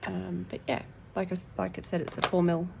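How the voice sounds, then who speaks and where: A woman with a croaky, tired voice hosting her colouring channel, chatting casually with lots of ums as she reads out packaging and unboxes. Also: lowish voice, monotone, a little fast, background noise. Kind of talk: casual tabletop unboxing chat.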